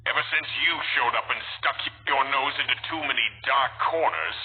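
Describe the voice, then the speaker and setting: A man voicing a scornful, cunning Templar leader in a video game, theatrical and condescending in a deliberate delivery. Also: mid-range voice, lightly acted. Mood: smug scorn. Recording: tinny band-limited game audio, faint noise.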